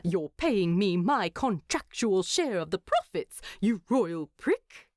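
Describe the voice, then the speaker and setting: A woman's voice: medium pitch, big pitch swings, slow, a little mellow, no echo. A woman voicing a weary, wry, fussy male hobbit in a storybook narration, with a dry, teasing manner. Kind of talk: fan fiction narration with character voices.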